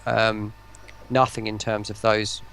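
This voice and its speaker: Man with a creaky voice. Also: on the low side, little pitch movement, slow pace, fairly bright tone, a little hiss or hum, poor audio. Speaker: stream host. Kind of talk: casual chat on a livestream.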